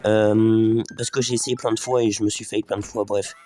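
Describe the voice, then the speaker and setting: Man with a slight cold, low mellow voice. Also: little pitch movement, moderate pace, faint music behind. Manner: chatty, thinks aloud, talks to viewers as les gars. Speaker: gaming video host. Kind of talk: casual let's play commentary.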